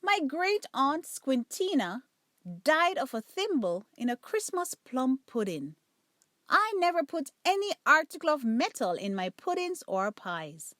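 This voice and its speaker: A woman's voice: high voice, very animated, measured pace, fairly bright tone, dry recording, clean studio sound, exaggerated voice. A woman voicing a prim, practical lady cat hostess in a storybook, firm and polite. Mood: solemn, prim.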